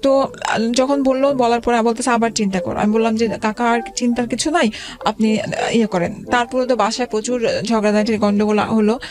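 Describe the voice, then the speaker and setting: A woman in her forties telling her life story as an interview guest, halting and hesitant, with many fillers and restarts. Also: fairly high pitch, steady tone.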